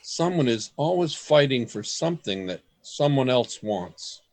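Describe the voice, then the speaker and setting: A man's voice: medium pitch, sing-song, a little slow, darkish tone. An actor playing a man from the present, speaking plainly about history in an amateur radio play. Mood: sober. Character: man from the present, sober and knowing.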